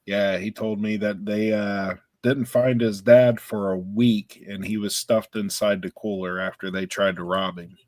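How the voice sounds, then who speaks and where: A man's voice: lowish voice, flat delivery, measured pace, hardly any pauses, darkish tone, no echo. A man co-hosting a podcast, telling stories in laid-back casual chat with slang. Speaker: podcast co-host.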